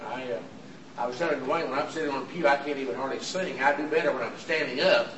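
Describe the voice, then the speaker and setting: A visiting preacher, his voice hoarse and raspy from throat trouble, delivering an earnest sermon in a halting way with frequent "uh" fillers. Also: mid-range voice, crowd noise. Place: church auditorium. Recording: echoey hall from far off with room noise.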